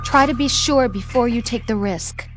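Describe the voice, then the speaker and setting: A woman's voice: on the high side, moderate pace, lightly acted. A woman voicing a sympathetic, attentive mission support operator in a game. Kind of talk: dramatic game voice acting. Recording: game dialogue over music.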